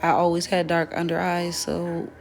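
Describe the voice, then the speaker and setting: Slightly raspy young woman, low flat voice. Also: moderate pace, faint music behind. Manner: relaxed, chatty, self-correcting. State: voice dry from weather. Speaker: beauty vlogger. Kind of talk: casual makeup tutorial chat.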